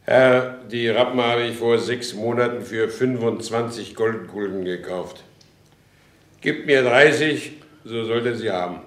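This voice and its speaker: An actor playing a proud, law-abiding horse dealer in a German radio play, speaking in an emphatic, theatrical way. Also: male voice, mid-range voice, measured pace, no echo, close to an everyday voice. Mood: polite, firm.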